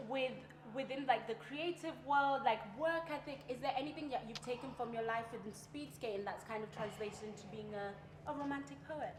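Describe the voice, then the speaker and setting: A woman's voice: a little high, brisk, hardly any pauses, slight background noise. A woman hosting a casual interview, enthusiastic and supportive, with lots of filler words. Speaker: host. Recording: echoey room.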